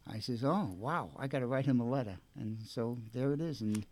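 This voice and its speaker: A man's voice: mid-range voice, brisk, a little mellow, no echo. Effusive man hosting religious radio. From Brooklyn, New York. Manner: effusive, gushing. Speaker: radio show co-host.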